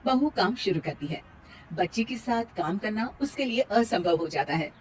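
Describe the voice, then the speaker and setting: A woman's voice: mid-range voice, expressive, moderate pace, slightly rough, poor audio. A woman reading scripted narration for a cartoon moral story.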